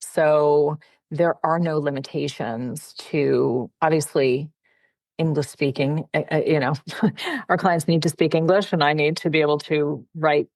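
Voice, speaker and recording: A woman's voice: sits low, steady tone, unhurried, a little mellow, dry recording. A woman, a personal brand consultant from Canada, speaking as a podcast guest in a chatty, friendly way with frequent fillers. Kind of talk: casual interview conversation.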